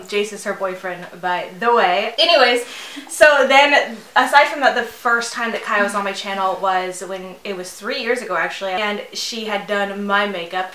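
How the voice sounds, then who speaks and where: A woman's voice: medium pitch, bright, forceful tone, slight background noise. A woman in her thirties chatting as a beauty vlogger while doing her makeup, giggly and rambling with fillers. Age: 30s. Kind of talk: chatty get-ready-with-me. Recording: echoey room.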